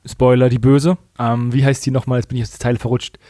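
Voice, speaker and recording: A man's voice: mid-range voice, level tone, quick pace, dark tone, no room sound, studio quality. A man co-hosting a podcast, chatting casually and jokingly with a partner. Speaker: podcast co-host.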